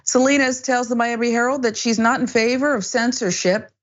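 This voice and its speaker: A woman with an American accent hosting a news discussion, speaking with emphatic, deliberate phrasing. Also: fairly high pitch, steady tone. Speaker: news show host. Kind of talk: news presenting and panel discussion. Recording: dull, band-limited broadcast audio.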